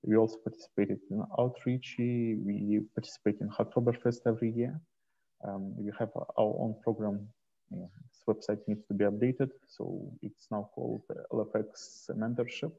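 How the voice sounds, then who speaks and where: A man presenting slides informally on a video call, speaking in a hesitant, halting way into a headset. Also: on the low side, little pitch movement, gravelly, mellow. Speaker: host and presenter of an online community onboarding session. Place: small indoor room, video call. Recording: dull, muffled headset call audio.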